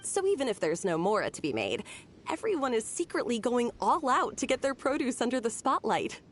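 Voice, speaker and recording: Lively, high-voiced friendly townswoman. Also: brisk, a little hiss or hum. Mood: helpful. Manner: helpful, explanatory. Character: friendly, chatty townswoman explaining a festival to newcomers. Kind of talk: video game character dialogue. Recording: music behind the voice.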